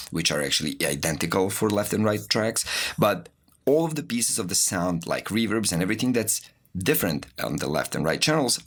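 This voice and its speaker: A man giving an informal, explanatory music production tutorial, walking through software as an instructor. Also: sits low. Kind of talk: spoken tutorial with software demonstrations.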